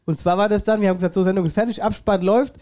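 A man's voice: high-pitched, fairly even intonation, racing, darkish tone, slight background noise. A man co-hosting a casual podcast from a headset, a bit stuffy from a cold, chatty and laughing often. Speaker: podcast co-host. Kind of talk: casual chat. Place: home studio, seated in armchair.

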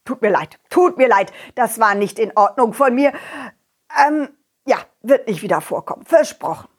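A woman's voice: fairly high pitch, lively intonation, moderate pace. A woman voicing a grumpy, boastful big male boulder in a children's audio story, blustering and whiny. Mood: apologetic.

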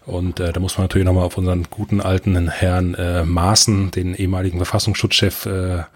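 Deep husky man chatting casually. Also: level tone, fairly quick. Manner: blunt, opinionated. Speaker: podcast panelist. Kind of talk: casual chat.

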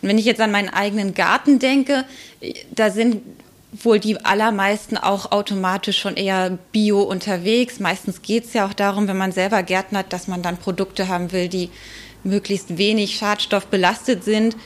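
A woman speaking as an expert guest in a podcast interview, explaining in a measured way with hesitant pauses. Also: mid-range voice, steady tone.

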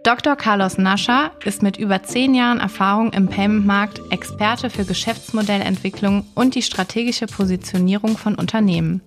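A woman's voice: mid-range voice, moderate pace, few pauses, faint music behind. A woman hosting an interview podcast, introducing and questioning a guest. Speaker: podcast host.

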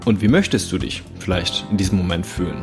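A man hosting a self-help podcast, speaking calmly and thoughtfully with frequent fillers while he has a cold and coughs now and then. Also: deep voice, fast, music in the background. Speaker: podcast host.

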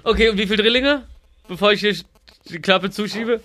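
Male podcast co-host, bright and forceful, slightly high voice, chatty and rambling, stumbles over starts. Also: a little fast. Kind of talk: casual banter among friends.

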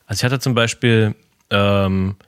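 A man with a Berlin accent telling a relaxed, slangy story as a podcast co-host. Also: lowish voice, a little slow, clear, resonant tone, no room sound, clean studio sound. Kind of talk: casual chat.

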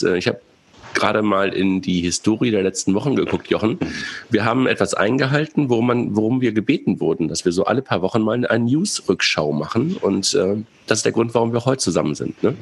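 A man's voice: on the low side, animated, brisk, creaky, slightly rough. A man hosting a podcast in casual chat, stuffed-up with a cold, hesitant and laughing often. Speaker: podcast host.

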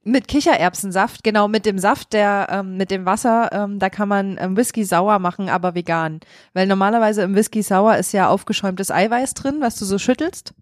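A woman's voice: mid-range voice, moderate pace, hardly any pauses, no room sound. A young adult woman from Thuringia, co-hosting a podcast in easygoing casual chat with dry jokes. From Thuringia, Germany. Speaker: podcast co-host.

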